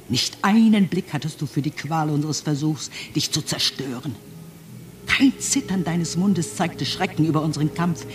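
An anguished man delivers a solemn radio play monologue over prominent music. His voice is fairly high for a man and very slow, moving between fervent declamation and hushed, accusing lament. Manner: dramatic declamation falling into hushed whispers. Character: anguished male speaker reciting a fervent accusatory address to mankind.

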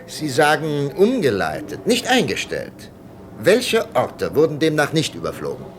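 An actor playing a patient, shrewd investigator in a German radio crime drama, questioning deliberately with thoughtful pauses. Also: male voice, on the high side, wildly swooping pitch, slight background noise. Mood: businesslike. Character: patient, methodical male FBI investigator, probing and shrewd.

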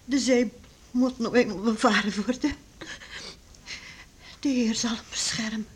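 A woman's voice: on the high side, fairly even intonation, measured pace, husky. An actress playing an anxious, tender middle-aged mother, fretful and pleading, in a radio drama. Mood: tearful, tender. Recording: hissy old radio play recording.

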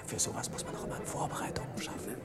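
A man's voice: medium pitch, racing, whispered, close to an everyday voice. A man playing a nervous burglar accomplice with a troubled conscience in a radio crime drama, anxious, hesitant and protesting. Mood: doubtful. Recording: noisy vintage radio play recording.

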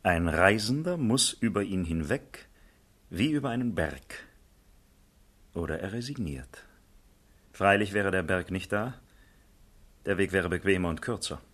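An actor playing a cynical, world-weary gentleman in a literary radio play, confiding and mocking in a theatrical way. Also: sits low, lively intonation, measured pace, pauses often, exaggerated voice. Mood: sardonic, weary.

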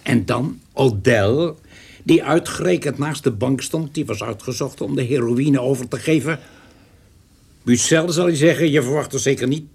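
A measured, shrewd police investigator. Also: male voice, medium pitch, vocal fry, a little mellow, breathy edge. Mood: measured, probing. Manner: formal, measured, deliberate. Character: shrewd, patient male police narcotics investigator, calmly coercive. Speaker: actor in a radio play. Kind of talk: Dutch radio drama acting.

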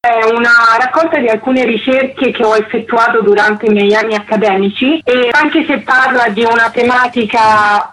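A woman's voice: fairly high pitch, fairly bright tone, a little hiss or hum, poor audio. Female interviewed author, academic and formal in phrasing, explanatory delivery.

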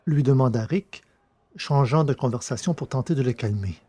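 A man's voice: medium pitch, normal speed, warm tone, husky. A man reading scripted science-fiction story narration.

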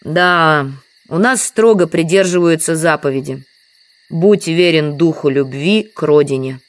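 A woman's voice: sits low, a little slow, no room sound. An actress playing a dutiful, stubborn village woman in an audio drama, plain and insistent in manner. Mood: earnest.

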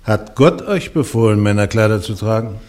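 A church interrogator presses on with question after question, pointed and relentless. A man plays him in an old radio drama. His delivery stays measured and formal. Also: on the low side, animated, darkish tone, close to an everyday voice. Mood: insistent. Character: male church interrogator, probing and insistent. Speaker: trial judge. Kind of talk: German radio play acting.

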